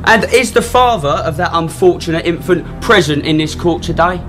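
A man's voice: fairly high pitch, normal speed, close to an everyday voice. An actor playing a brazen young male swindler, smug, mock-polite and theatrical, in a radio drama. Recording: music and crowd noise behind.

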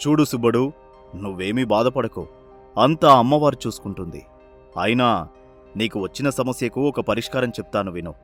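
A man's voice: medium pitch, moderate pace, pauses often, a bit bright, faint music behind, natural voice. A man playing an authoritative, fatherly village headman in a moral-story cartoon, with a formal, oratorical manner. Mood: reassuring.

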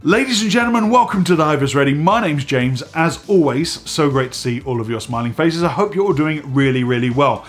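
Man in his forties, slightly higher voice, a little stuffy and hoarse from a cold, chatty to-camera host with faint music underneath. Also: fairly quick, few pauses. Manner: chatty and animated, talking with his hands. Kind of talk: casual direct-to-camera vlog. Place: home studio room with dive gear on shelves.

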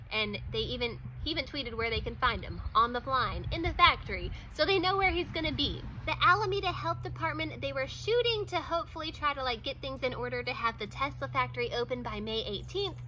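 Bright, high-voiced chatty woman. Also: brisk, few pauses, a little hiss or hum, poor audio. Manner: chatty, many "like"s and small laughs. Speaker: vlogger. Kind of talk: casual talk to camera. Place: outdoors in front of a wooden fence.

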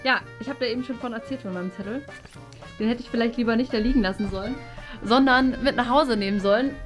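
A woman's voice: a little high, fast talker, faint music behind. A young woman chatting casually to camera as a vlog host indoors. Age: young adult. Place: indoors, small craft studio and office rooms. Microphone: handheld vlog camera at arm's length.

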